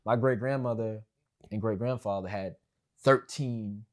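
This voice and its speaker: A man's voice: lowish voice, normal speed. A young man on a podcast, talking casually and rambling, with many fillers and restarts. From family of West Indian background. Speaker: podcast guest.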